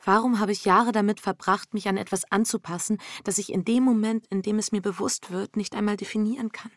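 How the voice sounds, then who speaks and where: An actress playing a reflective, troubled married woman in an intimate, hushed radio drama. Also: medium pitch, fairly even intonation, fairly quick, dry recording, studio quality, natural voice. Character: reflective, troubled married woman facing her fear and guilt. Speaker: lead actress and first-person narrator.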